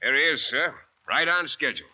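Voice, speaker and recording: A man acting a gruff, commanding, taunting army sergeant in an old-time radio drama. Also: fairly high pitch, expressive, a little fast, sharp tone, faint music behind, full character voice. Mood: brisk. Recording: muffled, noisy vintage radio recording.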